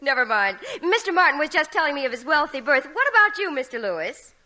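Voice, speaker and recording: Prim magazine interviewer, refined, emphatic, high voice, swooping intonation, 1940s radio comedy. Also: female voice, sing-song, quick pace, bright, forceful tone, full character voice. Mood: polite interest. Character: prim, curious society magazine interviewer, woman. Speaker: actress.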